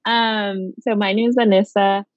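A woman's voice: medium pitch, little pitch movement, measured pace, no echo, dull audio. A young woman from Guatemala, chatting in an interview with many fillers and easy laughs. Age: young adult. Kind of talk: casual interview.

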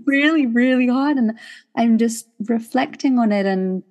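A woman's voice: a little high, a little slow. Woman podcast host, thoughtful and affirming. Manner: warm affirming listener, thoughtful, "like" fillers. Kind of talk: casual podcast conversation.

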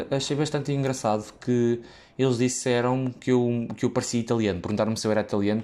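A man's voice: mid-range voice, darkish tone. A young man with a European Portuguese accent tells a casual, rambling story about his work as a waiter, full of fillers, restarts and side tracks.

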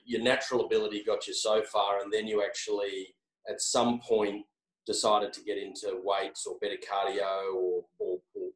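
A man interviewing someone, asking long questions with many repeated words and hedges. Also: on the low side. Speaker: interviewer, company executive. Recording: echoey room.

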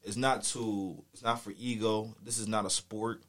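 A man's voice: on the low side, fairly even intonation, moderate pace, fairly bright tone. A man with a US East Coast accent telling a story in casual chat, slangy, profane and boastful.

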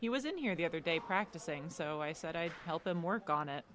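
A woman's voice: lowish voice, lively intonation, a little fast, fairly bright tone, a little hiss or hum. A woman acting in an audio drama as a guarded, stressed teenage girl athlete, with a dry, defensive delivery.

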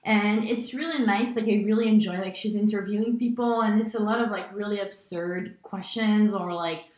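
A woman's voice: mid-range voice, fairly even intonation, normal speed, darkish tone, reverberant. A woman co-hosting a casual podcast, rambling and filling her speech with "like", laughing often. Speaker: podcast co-host. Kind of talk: casual chat.

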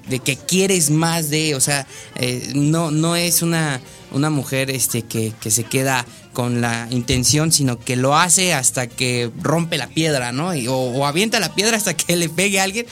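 A man's voice: a little high, fairly bright tone. A man with a Mexican accent hosting a casual radio interview, chatty and playful, full of slang and fillers. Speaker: radio show host.

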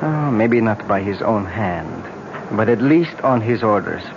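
A man playing a courteous, solemn young prince in danger, speaking with measured politeness in a 1970s radio drama. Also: lowish voice, animated, gravelly. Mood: grave. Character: grave, courteous young prince marked for death. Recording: muffled, very noisy old radio recording.

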